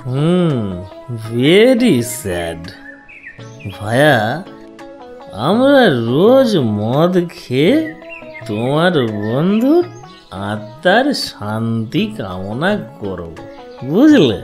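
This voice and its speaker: A woman's voice: extremely low pitch, wildly swooping pitch, very slow, pauses often, darkish tone, soft background music, heavily acted. A woman voicing a dim, cheerful drunk bachelor in a cartoon comedy, naive and sing-song. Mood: tipsy, sympathetic.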